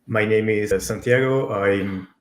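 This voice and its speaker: The sound is noticeably echoey. A man from Argentina whose first language is Spanish speaks in a fairly low voice, presenting informally about tech. He is conversational and a little halting, repeating words as he goes. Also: a little hiss or hum. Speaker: conference speaker.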